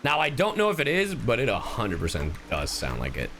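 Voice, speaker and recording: A man's voice: medium pitch, huge pitch swings, a little fast, background noise. A man streaming casual live reactions to a game, joking and slangy. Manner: joking, slangy, breaks into humming and catchphrases.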